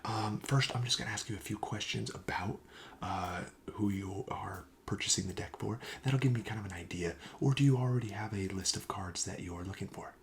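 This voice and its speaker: A man playing a friendly, reassuring card shop clerk in a soft-spoken ASMR roleplay, breathy and often near a whisper, with casual, hesitant sales patter. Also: fairly low pitch, slight background noise, close to an everyday voice. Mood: welcoming, reassuring.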